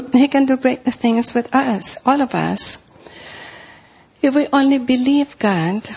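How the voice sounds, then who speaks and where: A woman's voice: fairly high pitch, a little mellow, breathy edge, highs cut off. A middle-aged woman from Costa Rica with a Spanish accent, preaching a sermon in an earnest way and repeating phrases for emphasis.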